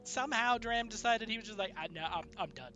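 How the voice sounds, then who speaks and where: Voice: medium pitch, fast talker, pushed and bright, soft background music. A person bantering casually with gaming friends, teasing and swearing.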